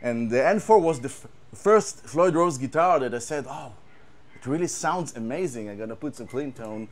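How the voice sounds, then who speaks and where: A man with an Israeli accent, a guitar tech, talking casually and haltingly, repeating words and using many fillers. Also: mid-range voice, expressive. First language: Hebrew. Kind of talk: casual show-and-tell chat.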